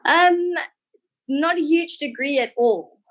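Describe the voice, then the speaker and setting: A woman's voice: sits high, takes it slowly, fairly bright tone. A thirty-year-old woman with a South African accent, answering interview questions casually and a little hesitantly. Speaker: podcast guest, trained tour guide.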